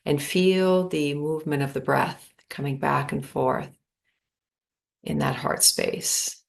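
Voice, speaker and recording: A woman guides a meditation in a low voice with a creaky edge. She speaks very slowly and calmly, leaving long pauses between her phrases. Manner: slow, calm, spacious phrasing with long pauses.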